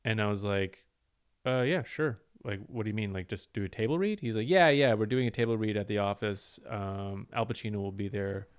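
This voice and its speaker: A man's voice: on the low side, fairly quick, clean tone. A man, a comedian, telling a rambling story in casual chat and acting out the quoted lines.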